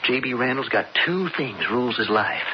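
An actor playing an intense, persuasive young outlaw in a radio western, with folksy frontier talk. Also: male voice, mid-range voice, expressive, normal speed, close to an everyday voice. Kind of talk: radio western drama acting. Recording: muffled, very noisy old radio recording.

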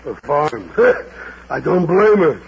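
A man's voice: fairly high pitch, animated, creaky, exaggerated voice. An actor playing a kindly, grumbling, world-weary older man in a golden age radio drama, theatrical and muttering. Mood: gruff sympathy. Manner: theatrical, slow, muttering asides. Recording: muffled, very noisy vintage radio broadcast.

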